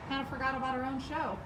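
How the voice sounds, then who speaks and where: A woman co-hosting a live show from a small shop, chatting casually with a loud, bubbly voice and frequent laughter. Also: high-pitched, animated, fairly quick, roomy sound, distant mic, noisy background. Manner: bubbly and playful, laughs often. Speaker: co-host of a live store show. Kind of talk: casual chat.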